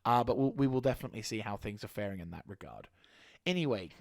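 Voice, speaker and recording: A man with a slightly hoarse throat giving a casual channel update as a gaming YouTuber, rambling and halting with frequent restarts. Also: fairly low pitch, animated, a little mellow, no echo, studio quality.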